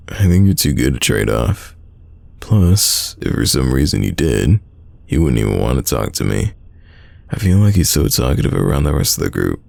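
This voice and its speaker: A man playing a secretly smitten, teasing young man in an intimate roleplay audio drama, deep and gravelly, talking casually. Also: darkish tone, no echo, exaggerated voice. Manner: casual, conversational, halting, teasing.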